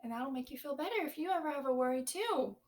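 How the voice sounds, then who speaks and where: A woman's voice: high voice, animated, fast talker. A woman teacher reading a picture book aloud to young children, stopping to ask them questions and explain words.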